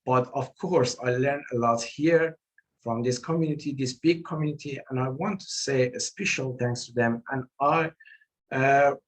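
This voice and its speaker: A man's voice: medium pitch, rough recording. Earnest man speaking English as a second language. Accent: non-native English. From outside Australia, moved there in 2018. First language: not English. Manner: earnest, repetitive, halting phrasing. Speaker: guest presenter, building designer. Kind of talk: informal thank-you remarks and answers in an online meeting.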